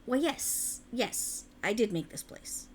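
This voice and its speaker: A woman's voice: a little high, lively intonation, slow pace, slight background noise. A woman voicing an ancient, alluring faerie in a scripted ASMR roleplay, soothing and coaxing with formal archaic phrasing, tender but sinisterly possessive. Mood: delighted, soothing.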